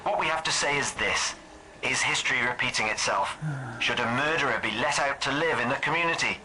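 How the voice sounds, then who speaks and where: Bright, forceful radio presenter. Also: male voice, mid-range voice, a little fast, slight background noise, poor audio. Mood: provocative. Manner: dramatic, rhetorical. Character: earnest, provocative male local radio presenter. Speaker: actor in a radio detective drama. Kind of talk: news-style radio broadcast within a drama.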